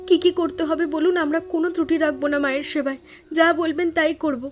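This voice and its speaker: A woman voicing an earnest, devoted village woman in an animated family story, speaking emphatically. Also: sits high, fast, lightly acted. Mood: anxious, caring.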